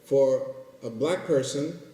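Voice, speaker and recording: A man's voice: medium pitch, slow pace, mellow. A man speaking earnestly in a documentary interview. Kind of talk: interview conversation. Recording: roomy echo, distant from mic.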